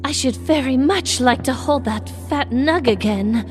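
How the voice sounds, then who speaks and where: A woman's voice: high voice, measured pace. A woman voicing a cheery, plucky female hobbit in a comedic fantasy parody, with a dramatic sing-song delivery. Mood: wistful. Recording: music playing behind.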